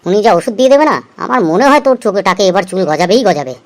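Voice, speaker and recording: Casting: male actor as thirties husband for comedy cartoon, quick delivery, chatty, thrifty, coaxing and teasing. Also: high-pitched, sing-song. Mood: encouraging. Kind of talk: amateur comedy cartoon dialogue.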